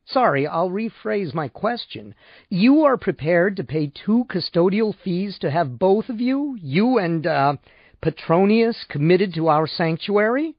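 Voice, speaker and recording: Casting: male reader as fussy, unctuous salesman, pompous and deferential, lively high-pitched delivery, audiobook. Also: unhurried, no room sound, muffled recording, heavily acted. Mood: indignant, pained. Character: unctuous, easily shocked male insurance salesman.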